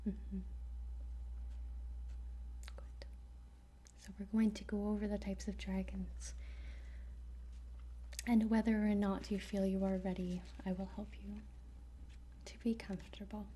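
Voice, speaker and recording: A woman whispering an ASMR roleplay as a dragon trainer, gentle and hesitant with frequent pauses. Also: medium pitch, little pitch movement, a little hiss or hum. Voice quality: breathy whisper.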